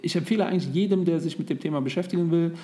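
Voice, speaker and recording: A man in his thirties with a voice that sits a little high for a man co-hosts a relaxed podcast. He talks loosely and keeps hesitating between thoughts, and he sounds at ease, like he is chatting with a friend. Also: racing. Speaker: podcast co-host. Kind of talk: casual podcast chat.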